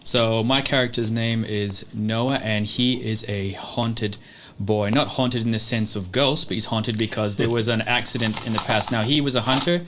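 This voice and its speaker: A man's voice: fairly low pitch, steady tone, moderate pace, a bit bright, slight background noise. A man casually introducing his character as a newcomer at a tabletop role-playing game.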